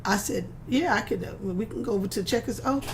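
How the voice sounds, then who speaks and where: A woman's voice: medium pitch, fast, noisy background. A woman with an American accent chatting casually as an agreeable, supportive conversation partner. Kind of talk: casual chat.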